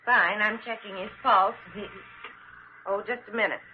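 An actress playing a kindly, attentive hospital nurse in a classic radio drama. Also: female voice, medium pitch, fairly even intonation, moderate pace, lightly acted. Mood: gentle, efficient. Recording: dull, noisy old radio recording.

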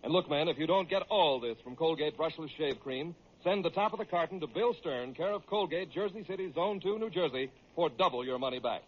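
An American man announcing and pitching a sponsor in old-time radio style. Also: expressive, brisk, hardly any pauses, a little hiss or hum. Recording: dull old radio broadcast recording.

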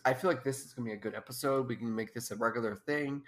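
A woman's voice: extremely low pitch, very fast, slight background noise. A young woman in her twenties, a podcast co-host, talking casually and giggling often while stuffed up with allergies. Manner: giggly, rambling. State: congested. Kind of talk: casual chat.

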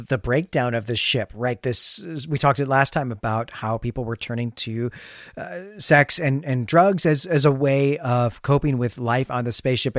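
A man recaps and discusses fiction as a podcast co-host. His voice sits at an average male pitch and his delivery is conversational. The recording is dry, free of room sound. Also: no room sound. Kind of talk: story recap and casual literary discussion.

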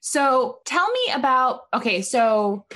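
A woman's voice: high-pitched, sing-song, slow, pushed and bright. A woman interviewing a guest in casual talk, speaking fluently and encouragingly.

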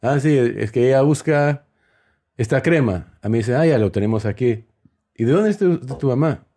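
Mellow man with mixed Spanish accent. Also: mid-range voice, expressive, moderate pace. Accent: mixed Spanish with Peruvian, Spain and Mexican influences. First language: English. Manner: acts out dialogue while telling anecdotes. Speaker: host. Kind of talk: casual conversational storytelling.

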